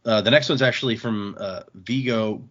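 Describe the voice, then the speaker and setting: A man's voice: fairly low pitch, normal speed, fairly bright tone, muffled recording. A man hosting a podcast, chatting casually and reading listener emails aloud in an easygoing, agreeable manner.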